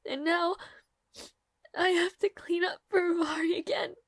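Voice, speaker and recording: A woman playing a timid, devoted young maid in a dramatic audio roleplay, with halting, stammering, breathy speech. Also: very high voice, fairly even intonation, no room sound, clean studio sound. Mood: tearful, bitter.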